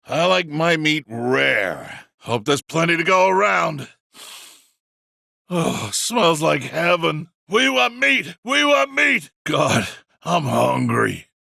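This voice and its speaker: A cowardly, greedy video game bandit. The voice is high and very sharp, lurching wildly up and down with a gritty edge. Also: male voice, sing-song, takes it slowly, creaky, no room sound, clean studio sound, exaggerated voice. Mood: hungry. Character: excitable, cowardly, greedy male bandit underling. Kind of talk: video game character barks.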